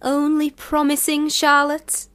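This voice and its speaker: A woman playing a proud, dreamy young poet in a radio drama, with a measured, lyrical, formal delivery. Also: high voice, monotone, a bit bright, no room sound. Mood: piqued.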